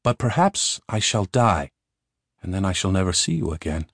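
A man's voice: low voice, big pitch swings, normal speed, slightly rough, no echo, clean studio sound, heavily acted. A man voicing a young prince who is earnest, determined and curious, in a storybook.

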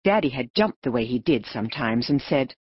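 A woman narrating an audiobook story in an expressive storytelling manner. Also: a bass voice, fairly quick, breathy edge, dry recording, highs cut off.

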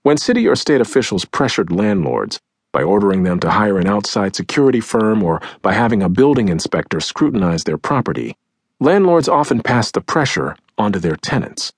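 Husky, low male audiobook narrator, very expressive. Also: brisk, no echo, clean studio sound. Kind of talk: scripted nonfiction audiobook narration, acting out quotes. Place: close, dry recording studio.

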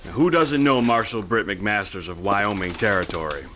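Cool, low-voiced western drifter. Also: male voice, normal speed, bright, forceful tone. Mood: affable. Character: laconic, cool Old West drifter. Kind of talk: western radio drama. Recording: very noisy outdoor scene.

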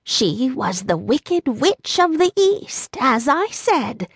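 A woman voicing a sweet, calm little old woman. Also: high voice, creaky, husky, dry recording, pitched above the performer's own voice, full character voice. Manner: slow, courteous, calm. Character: tiny, kindly old woman, calm and gentle.

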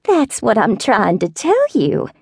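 A woman voicing a fussy elderly neighbor with a Southern accent, who talks in a slow, meandering, wavering falsetto. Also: very animated, creaky, slightly rough, dry recording, studio quality, exaggerated voice. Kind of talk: audiobook character voice.

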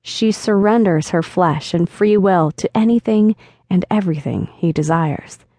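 Lady narrator doing a cocky flirty guy in an audiobook, smooth and teasing, dry close sound. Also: on the low side, expressive, measured pace, warm tone, no echo. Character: flirtatious confident man chatting online. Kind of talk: audiobook dialogue reading.

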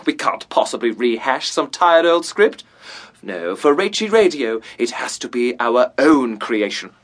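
A man's voice: mid-range voice, very animated, a little slow, a bit bright. An actor playing a pompous, self-important amateur theatre director in a comic radio drama, grandly enunciating his words.

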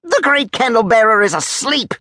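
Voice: mid-range voice, big pitch swings, moderate pace, pushed and bright, no room sound, clean studio sound, voice pushed up. A performer voicing a blustering, easily enraged military major who barks and roars in a storybook tale. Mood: gruff refusal. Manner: barking, roaring, threatening.

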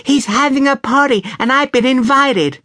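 Man putting on a very high whiny little girl. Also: moderate pace, pushed and bright, no room sound, clean studio sound. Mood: eager. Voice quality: very high, chiming child voice. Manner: pleading, stubborn. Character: whiny, insistent six-year-old little girl.

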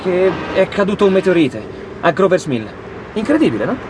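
An actor playing a brisk, dismissive teenage son in an audio drama. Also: male voice, sits high, big pitch swings. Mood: curious. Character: dismissive, curious teenage son riding in a car. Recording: loud car and traffic noise.

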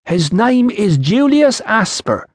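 Audiobook role: proud, indignant ancient tribeswoman, played by a male narrator, raised pitch, slow and emphatic, blunt. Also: sing-song, no echo, studio quality, heavily acted. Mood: insistent, indignant.

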